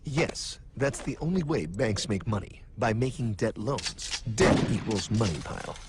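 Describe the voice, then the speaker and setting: A man playing a smug, theatrical cartoon narrator who lectures in a pompous, deliberate, mock-mysterious way. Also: fairly low pitch, lively intonation, heavy background noise. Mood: lecturing. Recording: background music under the voice.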